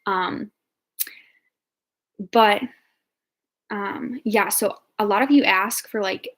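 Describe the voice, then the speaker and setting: Young woman nursing student rambling thru viewer q&a, lots of likes and ums, normal pitch. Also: takes it slowly. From Ohio, United States. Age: 20s. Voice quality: chatty, filler-heavy, upbeat. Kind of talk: casual chat answering viewer questions.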